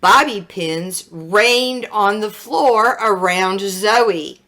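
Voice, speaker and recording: A woman reading a picture book aloud as a children's story, with dramatic, expressive delivery. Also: mid-range voice. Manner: slow, dramatic, animated storytelling with sound words.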